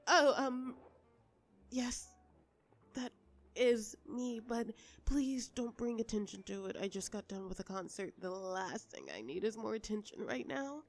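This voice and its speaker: A woman playing a polite, flustered pop star who wants privacy, speaking haltingly and conversationally in an intimate audio roleplay. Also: fairly high pitch. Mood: shy, polite, then weary, hushed.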